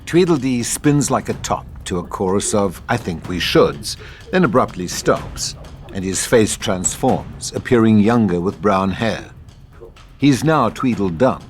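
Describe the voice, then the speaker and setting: A man's voice: fairly low pitch, expressive, unhurried, soft background music. A man reading stage directions and scripted narration for an audio drama table read.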